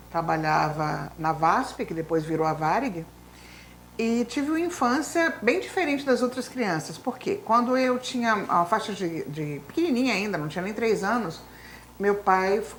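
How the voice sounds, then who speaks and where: Woman in her fifties, Spanish native, reminiscing guest with a mid-range voice and faint background noise. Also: mid-range voice. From Brazil. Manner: long storytelling, lots of fillers like né and então, assim. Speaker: retired professor, interview guest. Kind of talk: reminiscing life story in an interview.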